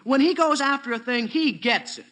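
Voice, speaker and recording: A man's voice: extremely high pitch, animated, normal speed, a bit bright. An actor playing an earnest, cocksure American teenage boy with a youthful, cracking voice in a 1940s radio sitcom. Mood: boastful, insistent.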